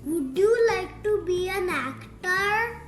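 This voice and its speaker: A young girl reading a rhyming picture book aloud in a deliberate, sing-song storytime style. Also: very high-pitched, animated, a bit bright. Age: child. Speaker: young reader of picture books. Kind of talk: read-aloud storytime narration of rhyming verse. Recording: roomy echo, music playing behind. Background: music.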